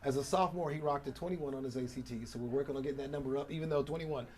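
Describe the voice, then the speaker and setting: A man's voice: mid-range voice, very fast, darkish tone, slight background noise. A middle-aged American man, a radio broadcaster being interviewed, tells stories in a polished, emphatic conversational way, repeating phrases for effect. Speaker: radio program director and morning show host, interview guest. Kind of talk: animated conversational storytelling.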